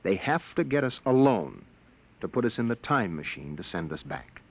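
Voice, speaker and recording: Worried husband, low voice, hushed and soothing, dull old radio sound w music. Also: male voice, very animated, normal speed, slight background noise. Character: worried time-traveling husband, adult man. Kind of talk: 1950s radio drama acting.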